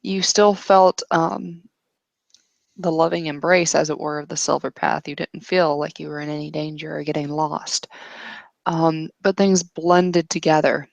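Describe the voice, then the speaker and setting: A woman narrating an improvised tabletop roleplaying story as game master, in a hesitant, descriptive way with pauses. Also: sits low, level tone, creaky, a little mellow, dry recording, lo-fi sound.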